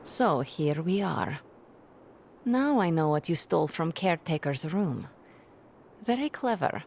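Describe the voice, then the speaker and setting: An actress playing a guarded, sardonic young sorceress in a video game, with a dry delivery. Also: female voice, deep voice, lively intonation, measured pace, darkish tone, a little hiss or hum. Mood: dry, teasing.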